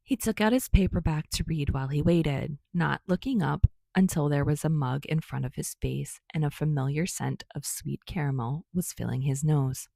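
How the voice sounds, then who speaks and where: Creaky, low-voiced woman narrating. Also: moderate pace, few pauses, mellow, no echo. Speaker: fan fiction narrator. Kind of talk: scripted story narration.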